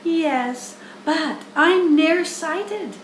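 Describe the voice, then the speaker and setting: Woman as a small female spider, storybook, thin high voice, pleasant, genteel, matter-of-fact, unhurried. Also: expressive, reverberant, a little hiss or hum, full character voice. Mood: composed, self-assured. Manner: measured, genteel, candid. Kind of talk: children's storybook character reading.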